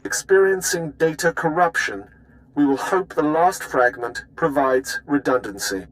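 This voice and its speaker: An actor playing an emotionless intelligence analyst who recites data in a clipped, deadpan way, like a computer, in a video game. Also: male voice, fairly high pitch, measured pace, natural voice, rough recording. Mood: detached.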